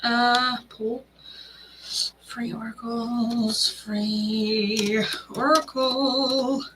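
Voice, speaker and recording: A woman with a bright, ringing voice pitched a bit high chats casually through her tarot live stream. She talks in a bubbly, rambling way, full of little fillers, greeting viewers and thinking aloud. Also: flat delivery, drawn-out words, slight background noise, rough recording.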